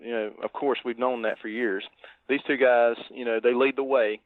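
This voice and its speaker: A man's voice: medium pitch, steady tone, normal speed, dry recording. A man co-hosting a podcast, chatting easily about a film and leaning on lots of fillers. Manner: easygoing, full of "you know" fillers. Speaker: podcast cohost. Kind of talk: casual film review chat. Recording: dry, tinny phone-like line.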